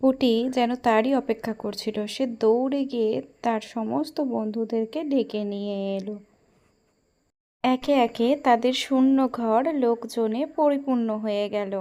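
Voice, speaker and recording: A woman's voice: a little high, steady tone, a little slow, dark tone. A woman storyteller reading scripted narration for a children's story.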